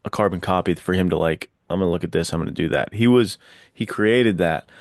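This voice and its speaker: Deep-voiced, slangy man chatting casually. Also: fairly quick, no echo, studio quality. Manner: slangy, excitable. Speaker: podcast host or panelist. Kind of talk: casual enthusiastic book chat.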